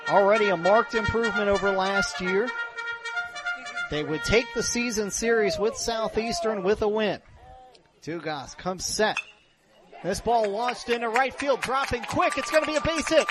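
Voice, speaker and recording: A man doing live radio play-by-play commentary as a baseball announcer. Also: very high voice.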